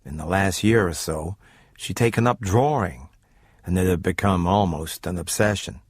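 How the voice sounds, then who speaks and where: A man with a fairly low voice presents and narrates a radio story. His delivery is scripted, dry and measured, and music plays clearly beneath him. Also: no room sound.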